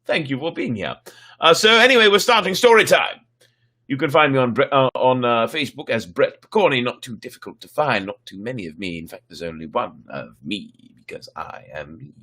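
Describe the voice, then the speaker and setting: A man's voice: medium pitch, expressive, fairly quick, sharp tone. A man hosting a livestreamed story show, chatting casually to viewers in a playful, theatrical, rambling way.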